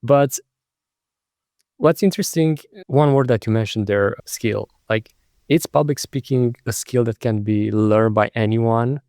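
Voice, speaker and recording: Male podcast host, Romanian accent, non-native English speaker, conversational and searching for words, mid-pitch, dry sound. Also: a little slow, darkish tone, no echo.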